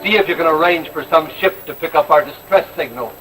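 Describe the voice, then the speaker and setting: An actor playing a jaded, defiant spaceship pilot in an old-time radio drama, blunt and sardonic. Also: male voice, on the high side, a little fast, music in the background, natural voice. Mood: bitter, defiant. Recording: distant vintage radio recording, droning background.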